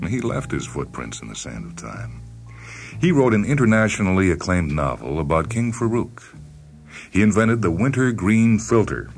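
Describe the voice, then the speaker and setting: A man narrating a short story in a measured, deliberate, dry deadpan way, as a scripted dramatic reading. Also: a bass voice. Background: background music.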